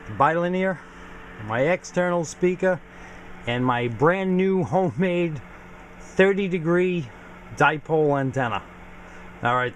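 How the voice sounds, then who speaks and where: A man's voice: a little high, slight background noise. A man giving a casual, informal equipment walkthrough as a hobbyist video host, explaining things plainly. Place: home garage.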